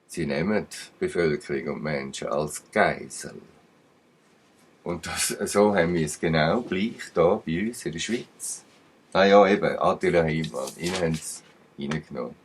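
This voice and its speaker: Very deep husky Swiss German man. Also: unhurried. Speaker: channel commentator. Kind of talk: rambling spoken commentary.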